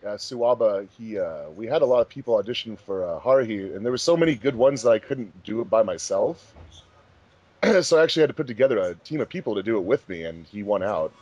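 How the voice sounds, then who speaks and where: A man's voice: lowish voice, lively intonation, fast talker, darkish tone, highs cut off. A man hosting a casual group commentary track, talking informally as the director of a fan project. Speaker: host, fandub director.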